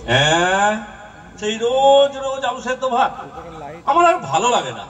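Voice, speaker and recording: A man's voice: very high voice, very animated, normal speed, a bit bright, recorded from a distance, voice pushed up, full character voice. A man voicing a poor, nagging village father in an amateur puppet show, scolding and stammering when cornered. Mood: scolding, exasperated.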